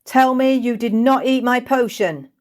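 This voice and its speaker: Young female trainee witch, acted by a woman, storybook character, excitable, exasperated, dutiful. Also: a little high, unhurried, voice pushed up, lightly acted. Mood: alarmed, urgent.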